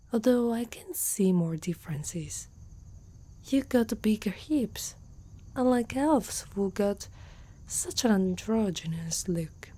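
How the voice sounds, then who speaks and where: A gentle, curious centaur girl, acted by a woman in an ASMR roleplay. Her voice is mellow and soft, often slipping close to a whisper. She talks tenderly and without hurry to a single listener, with a protective air. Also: on the low side, slightly rough. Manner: tender, unhurried, speaking closely to one listener.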